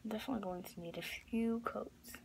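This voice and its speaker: A young woman talks through a craft project, her voice raspy and often dropping to a whisper. She rambles, corrects herself and leans on fillers. She sits close to a phone camera in a small bedroom, and the recording has a clear hiss under it. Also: medium pitch, a little fast. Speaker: craft vlogger. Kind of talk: casual craft tutorial. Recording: noisy home recording.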